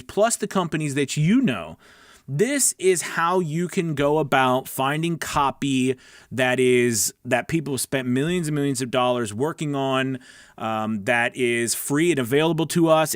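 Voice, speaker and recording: A man's voice: on the high side, dry recording, clean studio sound. A man giving casual, conversational instruction as a livestream coach, often checking in with his listeners. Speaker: voice-over coach hosting a weekly livestream.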